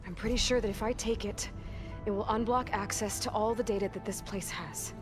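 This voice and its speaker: A woman's voice: mid-range voice, fairly even intonation, brisk, over music, natural voice. An actress voicing a determined young female hunter and explorer in a video game, with a practical, purposeful delivery. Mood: focused, purposeful. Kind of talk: video game cutscene dialogue.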